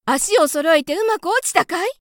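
A frantic little mother field mouse, as a woman reader puts her on, pleading in a high, strained voice. She speaks with humble, old-fashioned politeness. The sound is dry and close, like a storybook read at the mic. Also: expressive, moderate pace, dry recording. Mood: anxious, pleading.

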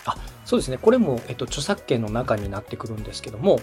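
Man, brisk pace, animated intonation, polite methodical coaching, light music behind. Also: mid-range voice. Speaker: host, radio director coaching podcast making. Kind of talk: explanatory coaching conversation.

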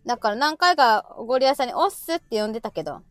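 A woman's voice: on the high side, sing-song, racing, no echo. A woman with a Kansai Japanese accent hosting a live audio show with casual, teasing comic banter. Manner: teasing, chatty.

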